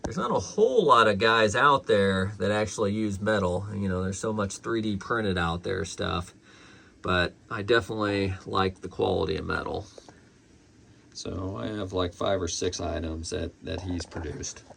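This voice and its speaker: A man's voice: sits low, normal speed, vocal fry, sharp tone, a little hiss or hum. A man casually reviewing a collectible in an unscripted, conversational way.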